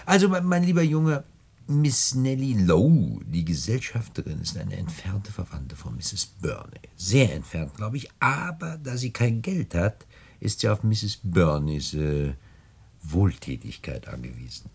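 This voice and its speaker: An actor playing a suave, condescending gentleman crook in a radio play, with florid, over-polite, pedantic phrasing. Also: on the low side, sing-song, measured pace, vocal fry, breathy edge, full character voice. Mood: smooth, patronizing. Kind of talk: 1960 German radio play acting.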